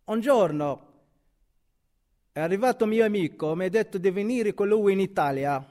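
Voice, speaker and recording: A man from Morocco, a native Arabic speaker with a Moroccan accent, telling his own migration story in simple, halting, heartfelt words. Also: sits high, animated, mellow. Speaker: migrant giving testimony. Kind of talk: personal storytelling.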